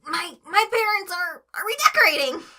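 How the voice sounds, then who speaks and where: A woman's voice: sing-song, normal speed, full character voice. A woman voicing a jittery, panicky teenage cartoon boy who stammers and yelps nervously. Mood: panicked, nervous. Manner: stammering, high-strung nervous yelling.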